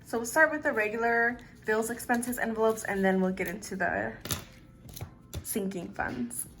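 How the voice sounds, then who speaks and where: Young woman in her twenties, casual vlogger, slightly raspy and tired, relaxed chatty delivery. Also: fairly high pitch, normal speed, faint music behind. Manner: relaxed, chatty, uses "like" and "literally" often. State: slightly raspy from lack of sleep. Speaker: budgeting vlogger. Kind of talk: casual chat to camera.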